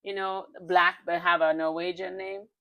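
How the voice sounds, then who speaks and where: Lady talk show host, lowish voice, informal and chatty, echoes and agrees a lot. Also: level tone, normal speed, lo-fi sound. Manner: informal, echoes and affirms the guest's words. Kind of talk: casual, candid interview chat.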